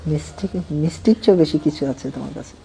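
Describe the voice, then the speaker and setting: A man's voice: a little high, lively intonation, fast, vocal fry, warm tone. A man playing a persistent, wheedling young student in a colloquial phone-call drama. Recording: faint background noise.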